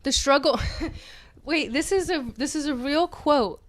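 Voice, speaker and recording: A woman's voice: high voice, measured pace, a bit bright. A woman co-hosting a comedy podcast, reading an article aloud mock-dramatically with joking asides and often breaking into giggles. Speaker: podcast co-host.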